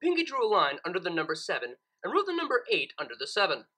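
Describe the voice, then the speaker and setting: A woman reading a fan fiction story aloud as an expressive narrator. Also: lowish voice, quick pace.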